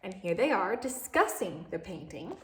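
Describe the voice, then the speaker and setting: A woman's voice: medium pitch, very animated, normal speed, background noise. A woman reading a story aloud to young children, expressively and with asides and questions to the listeners.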